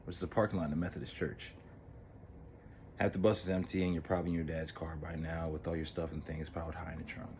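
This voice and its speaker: A man playing an earnest, lovestruck thirteen-year-old boy in an intimate, halting, wistful dramatic monologue. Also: rumbling low, darkish tone, slight background noise. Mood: uneasy, subdued.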